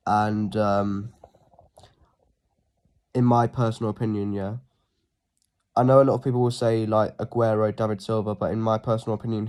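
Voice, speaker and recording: A man with a cold, sounding a bit sniffly, talking casually through a football team pick, with frequent ums and phrases that trail off quietly. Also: fairly low pitch. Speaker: football fan channel host. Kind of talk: casual solo preview talk.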